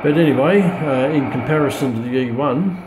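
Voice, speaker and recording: A man's voice: medium pitch, brisk. A middle-aged Australian man giving a casual, unscripted product demonstration, hesitant and thinking aloud, recorded on a phone. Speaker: radio hobbyist presenting a video test. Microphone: phone camera held back from speaker. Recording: loud radio static and hiss, phone camera. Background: shortwave radio noise.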